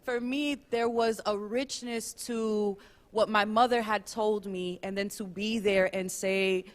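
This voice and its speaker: Lively woman telling family stories. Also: mid-range voice, a little slow. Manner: lively, animated storytelling. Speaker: panelist. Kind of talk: panel storytelling.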